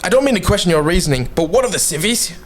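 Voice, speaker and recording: A man's voice: sits high, big pitch swings, rapid-fire, slight background noise. A man in an amateur radio drama voicing an eager young male soldier with an urgent, keyed-up delivery. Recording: voice over loud music.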